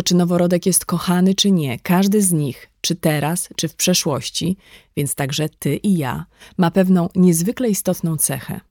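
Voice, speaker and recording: A woman's voice: deep voice, warm tone, no echo. Woman narrating an audiobook, very dry sound. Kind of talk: scripted nonfiction audiobook narration.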